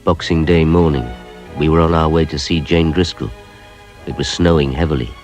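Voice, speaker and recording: Very deep-voiced British detective. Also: male voice, steady tone, normal speed, over music. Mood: ominous. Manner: plain-spoken, sceptical, ominous when narrating. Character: deep-voiced male detective, sceptical narrator of a mystery. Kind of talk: British radio crime drama acting.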